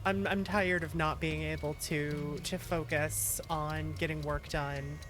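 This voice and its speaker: A streamer chatting casually and candidly while playing a game, in a halting way. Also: mid-range voice, flat delivery. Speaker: streamer, host. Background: music.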